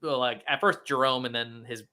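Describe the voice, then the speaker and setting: A man's voice: mid-range voice, steady tone, a little fast, sharp tone. A man in casual fan discussion, talking in a hedging, roundabout way with many filler phrases. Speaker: guest co-host from a geek show.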